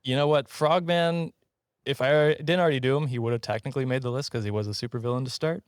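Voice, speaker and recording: Guy hosting a comedy podcast, jokey and chatty, normal pitched voice, no room echo. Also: fast, clean studio sound. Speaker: podcast host.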